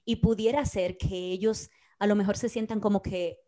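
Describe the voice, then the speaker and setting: Dominican woman telling animated stories. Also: mid-range voice, moderate pace. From Dominican Republic. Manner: animated storyteller, acts out quoted dialogue. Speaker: podcast co-host. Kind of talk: casual conversation.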